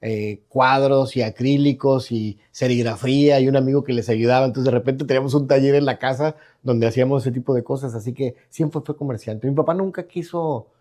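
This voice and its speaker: Mexican man, mellow and rambling. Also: medium pitch, fairly even intonation, a little fast, few pauses. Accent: Mexican Spanish. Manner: rambling with many restarts and tag questions. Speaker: guest entrepreneur. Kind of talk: casual interview talk. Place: his own podcast studio.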